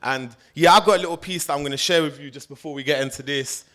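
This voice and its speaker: A man's voice: a little high, quick pace, fairly bright tone. Iranian-born man, 30s, street-style spoken word performer. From Iran. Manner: rhythmic, street-style delivery. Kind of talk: spoken word rap poetry.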